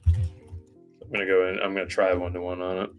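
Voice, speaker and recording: A man's voice: low voice, completely flat delivery, normal speed, faint music behind. A man co-hosting a live trading stream, reading viewer questions and calling out his order levels in casual chat.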